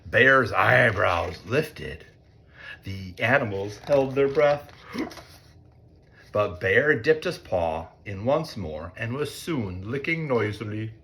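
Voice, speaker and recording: A man reads a storybook aloud for children. His delivery is slow and very animated, with a bright, forceful tone and playful asides to the listeners. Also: fairly low pitch, slight background noise. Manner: expressive, playful, pausing to ask listeners. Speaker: read-aloud storyteller, teacher. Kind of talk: interactive storybook narration for children.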